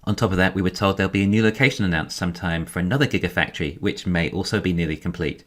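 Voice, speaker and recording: Guy with a pretty low voice doing scripted finance narration, measured, explaining stuff as he goes. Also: fairly bright tone. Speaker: YouTube finance commentator.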